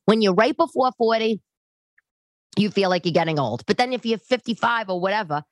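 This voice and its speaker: A woman in her late thirties hosting a podcast, talking casually and bluntly to her listeners in an animated, conversational way. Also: mid-range voice, brisk, no echo. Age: late 30s. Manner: animated, conversational, acts out others' remarks. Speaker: podcast host. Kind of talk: casual, chatty monologue to listeners.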